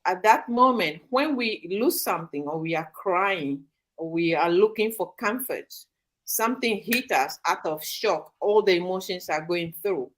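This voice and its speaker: A woman hosting a live online talk show, speaking in a measured way with frequent pauses and fillers. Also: lowish voice, fairly bright tone. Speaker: host. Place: studio or home in Sydney, Australia.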